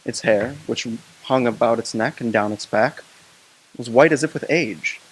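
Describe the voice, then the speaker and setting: A man narrating a classic ghost story in an expressive, hushed manner. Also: mid-range voice, normal speed, breathy edge, poor audio.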